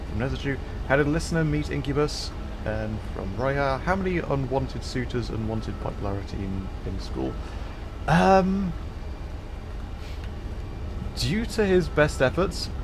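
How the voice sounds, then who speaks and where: A man hosting a casual game livestream, talking in halting, hesitant phrases with pauses. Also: mid-range voice, lively intonation, noisy background. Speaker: livestream host.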